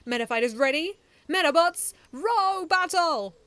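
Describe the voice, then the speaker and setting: A woman voicing a male battle referee announcing loudly. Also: extremely high pitch, animated, a little slow, dry recording, pitched above the performer's own voice, exaggerated voice.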